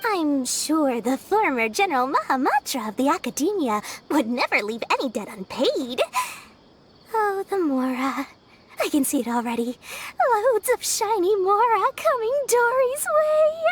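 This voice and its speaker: A woman voicing a greedy, cheerful young female merchant with a coaxing sales-pitch manner in a video game. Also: very animated, unhurried, heavily acted. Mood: greedy glee. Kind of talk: game voice acting.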